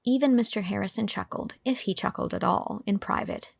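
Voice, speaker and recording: Woman narrator with a slightly creaky voice. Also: mid-range voice, brisk. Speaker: audiobook narrator and host. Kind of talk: scripted narration.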